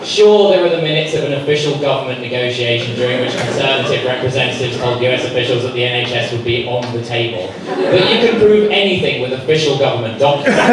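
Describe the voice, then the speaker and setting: A man's voice: medium pitch, close to an everyday voice. A man playing a pompous, outraged reactionary newspaper columnist, reading a florid satirical monologue from a script at a live comedy club. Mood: indignant, dismissive. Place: comedy club stage, indoors. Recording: echoey live club recording, distant, loud room noise. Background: noisy club room.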